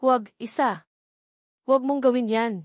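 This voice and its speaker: A woman's voice: a little high, steady tone, moderate pace, no room sound, voice pushed up, natural voice. Female reader as lovesick young man, pleading and insistent, storybook romance, dry recording.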